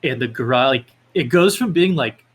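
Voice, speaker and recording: A man's voice: mid-range voice, animated, moderate pace, breathy edge. A man being interviewed as a guest, talking casually with hesitant phrasing and lots of filler words. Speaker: podcast guest, independent game developer.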